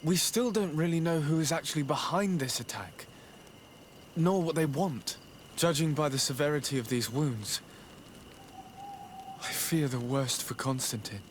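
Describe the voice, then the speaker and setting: An actor playing a composed, diplomatic envoy in a video game, formal, measured and earnest. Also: male voice, on the high side, a little hiss or hum, lightly acted. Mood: troubled. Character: composed, diplomatic young male envoy seeking his lost cousin. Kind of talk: video game cutscene acting.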